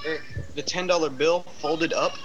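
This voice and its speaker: A fourteen-year-old boy chiming in quietly from off-mic with offhand explanations. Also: on the high side, fairly even intonation, moderate pace, muffled recording. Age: teens. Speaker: host's son chiming in. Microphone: off-mic, picked up by another person's microphone. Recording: faint voice from across the room, noisy.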